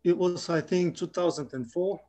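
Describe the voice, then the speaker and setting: A man in his forties from Bulgaria, speaking English, talking haltingly as a guest in a casual interview. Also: high-pitched, dark tone, soft background music. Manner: halting, with many restarts and fillers. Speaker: guest, 3D artist.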